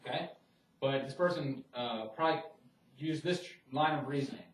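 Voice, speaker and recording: A man gives a math lecture in a classroom, stopping and restarting his phrases as he works through ideas in a casual, talky way. His voice sits at an ordinary pitch for a man and is picked up from a distance, so it sounds roomy and echoey. A faint background hiss runs underneath. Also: normal speed. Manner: conversational, many restarts and fillers.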